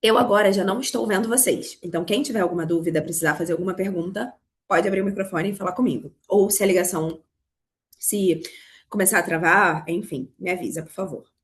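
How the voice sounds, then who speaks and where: A Brazilian woman from Rio with a Carioca accent, hoarse from a cold, teaching a live online class on money, talking straight to her students. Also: on the low side, quick pace, slight background noise. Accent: Carioca Brazilian Portuguese. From Rio de Janeiro, Brazil. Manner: explanatory, addresses students directly. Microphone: video call.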